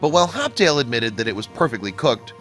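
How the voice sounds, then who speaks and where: A man narrating a scripted online video in a lively, bouncy read, recorded as a studio voice-over. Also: fast. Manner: lively, bouncy read with wide pitch swings. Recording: faint music bed behind the voice.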